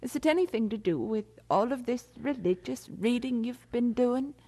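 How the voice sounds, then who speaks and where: An actress playing a fond, worrying middle-aged mother in an audio drama, speaking tenderly and deliberately. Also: a little high, expressive, dark tone. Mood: tender, worried.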